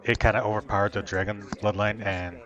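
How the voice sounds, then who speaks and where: A man's voice: on the low side, fairly even intonation, brisk, highs cut off. Man chatting in character over background chatter. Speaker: streamer playing in an online roleplay. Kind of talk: casual improvised in-character chat.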